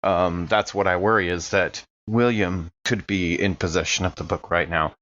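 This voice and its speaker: A man talks casually as a player in an actual-play game. His voice sits fairly low. The recording is slightly muffled and lacking in top end. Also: fairly even intonation, normal speed, a bit bright. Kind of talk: casual in-character discussion. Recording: slightly dull, muffled sound.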